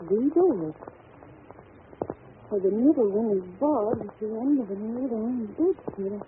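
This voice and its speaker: An actress playing an earnest, easily startled young governess in an old-time radio drama, with a breathless, polite, melodramatic delivery. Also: female voice, on the high side. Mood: rising panic. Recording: noisy, tinny vintage radio recording.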